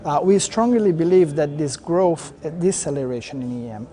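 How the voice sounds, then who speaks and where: A man's voice: on the high side, animated, moderate pace, dark tone. A man stating his team's investment views in a corporate interview, earnest and stressing his convictions. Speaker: emerging market debt investor. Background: faint music.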